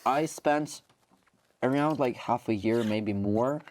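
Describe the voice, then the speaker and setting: A man's voice: medium pitch, slow pace, darkish tone. A Ukrainian soldier in his twenties speaking English with a Ukrainian accent, rambling with lots of "like" and restarts as an interview guest. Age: 20s. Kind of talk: interview conversation.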